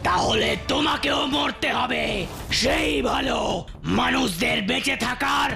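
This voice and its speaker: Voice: high voice, a little slow, vocal fry, full character voice. An actor voicing a menacing bear chief who hates humans in a children's cartoon, in a threatening, declamatory manner. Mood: furious, threatening. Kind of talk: animated children's cartoon dubbing. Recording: loud background music.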